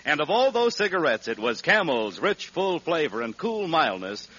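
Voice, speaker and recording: A man's voice: on the high side, sing-song, normal speed, fairly bright tone, husky. A man reading a radio commercial in 1940s announcer style, emphatic and polished. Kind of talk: 1940s radio advertising read. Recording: muffled vintage radio recording.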